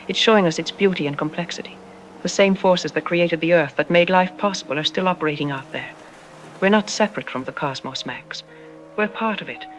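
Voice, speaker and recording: A woman playing a calm, reassuring scientist who explains things in a measured way, as an expert guest in an audio drama. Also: fairly low pitch, a little hiss or hum, lightly acted. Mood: gentle, reflective.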